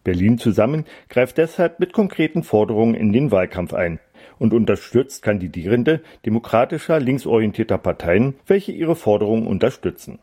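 Mellow-voiced radio interviewer, man. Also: lowish voice, very animated, slightly rough. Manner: measured, read-aloud delivery. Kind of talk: scripted radio intro and interview questions.

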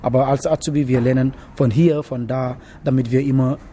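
A young man from Senegal who learned German as an adult, answering interview questions about his cooking apprenticeship, often pausing to search for words. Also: mid-range voice, steady tone, dark tone, a little hiss or hum. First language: not German. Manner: halting, searching for words with many hesitations. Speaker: interviewee, trainee cook. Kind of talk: casual interview.

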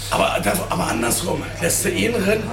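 Blunt male bar owner, casual and coarse, rapid slightly high voice, echoey room with music behind. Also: big pitch swings, crowd noise. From Berlin, Germany. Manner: blunt, coarse-mouthed, repeats words. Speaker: bar owner, interview guest. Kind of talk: casual chat. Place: inside a bar.

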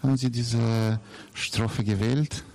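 A man's voice: medium pitch, steady tone, takes it slowly, gravelly, darkish tone. A man interviewing guests, putting casual questions in a hesitant way.

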